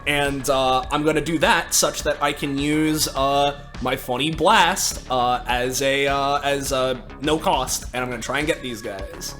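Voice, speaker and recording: A man's voice: mid-range voice, a little slow, few pauses, fairly bright tone, faint music behind. A man playing a tabletop game, chatting casually in a jokey, sweary manner. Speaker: player.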